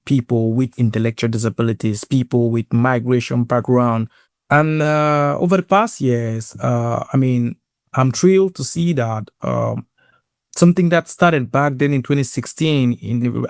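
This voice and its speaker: Man with a Cameroonian accent, halting delivery. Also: medium pitch, gravelly, a little mellow, dry recording, clean studio sound. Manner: earnest, halting with repeated words. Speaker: guest, sports inclusion program lead. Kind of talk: personal storytelling in an interview.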